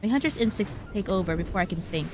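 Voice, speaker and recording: A woman with a mid-pitched voice reads a game's story aloud as a streamer would. She is expressive and slips in casual, wry asides. Faint game music plays behind her. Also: fast talker. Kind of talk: reading game text aloud with casual reactions. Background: game soundtrack.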